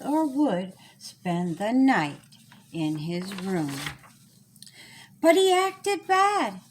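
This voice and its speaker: A woman reading a children's storybook aloud in a deliberate, sing-song rhyming way with dramatic pauses, as the author. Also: a little high, a little hiss or hum.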